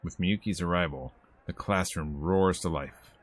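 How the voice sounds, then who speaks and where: Male gaming streamer: deep voice, casual narration, wry off-the-cuff commentary. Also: unhurried. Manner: wry, informal asides. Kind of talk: casual visual novel playthrough, narrating and commenting.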